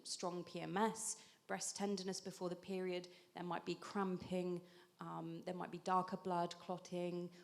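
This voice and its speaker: Low-voiced woman explaining with a gravelly edge. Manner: explanatory, frequent ums and restarts. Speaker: acupuncturist panelist. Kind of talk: conversational panel explanation.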